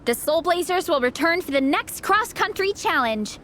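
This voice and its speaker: Eager, high-voiced young teammate. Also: female voice, lively intonation, moderate pace. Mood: encouraging. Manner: enthusiastic. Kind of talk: video game voice acting. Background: background music.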